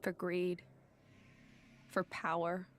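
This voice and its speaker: A woman playing a gentle, solemn young woman who is an ancient living weapon, speaking in a measured, earnest way in a video game cutscene. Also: lowish voice, monotone. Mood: solemn, reflective.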